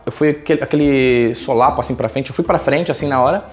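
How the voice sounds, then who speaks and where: A man's voice: mid-range voice, fast, soft background music. A man from Brazil with a Brazilian accent, telling a personal story casually and colloquially as a YouTuber. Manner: colloquial, animated retelling with acted quotes.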